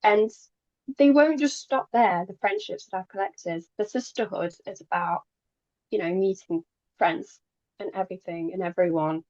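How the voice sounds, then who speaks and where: A woman's voice: mid-range voice, moderate pace, darkish tone, dry recording, muffled recording. A young woman from Poland who moved to England as a child, a native Polish speaker chatting casually as an interview guest, sometimes stumbling over her words. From Poland, moved to England at ten. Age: young adult. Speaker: podcast guest, pageant queen.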